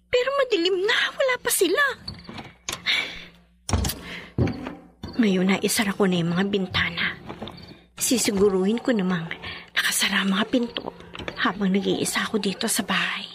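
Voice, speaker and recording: A woman's voice: mid-range voice, big pitch swings, fairly quick, natural voice. A woman playing a terrified, anxious young wife in a radio horror drama, with panicky exclamations. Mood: uneasy. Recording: loud music behind.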